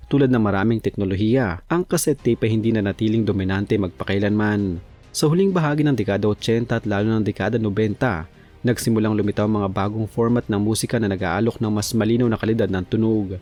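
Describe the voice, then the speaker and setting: Filipino guy doing documentary voiceover, lowish voice, formal scripted read, music in the back. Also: darkish tone. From Philippines. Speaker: documentary narrator. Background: background music.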